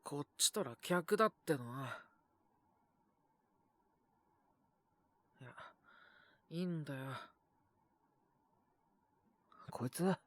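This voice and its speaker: A man's voice: on the high side, expressive, fairly quick, a bit bright, no room sound. A man voicing a sullen, possessive young wolf beastman with a cold, speaking in blunt, curt grumbles in an audio roleplay. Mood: irritated. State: sick with a cough, acted. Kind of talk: Japanese audio roleplay voice acting.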